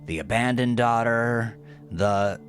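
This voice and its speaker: A man voicing a gangster henchman who haltingly declaims a venomous, gloating letter in an audio drama. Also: medium pitch, pitched above the performer's own voice, natural voice.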